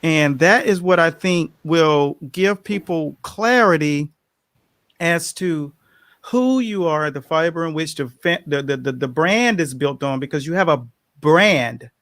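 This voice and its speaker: A man hosting an interview show, emphatic and animated, with frequent affirmations. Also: a little high, a little slow, dry recording. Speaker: show host.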